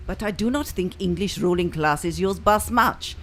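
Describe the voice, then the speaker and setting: A woman's voice: lowish voice, moderate pace, lightly acted. A woman playing a naive female Soviet spy posing as a nun, speaking earnest broken English with a put-on Russian accent in an amateur radio play.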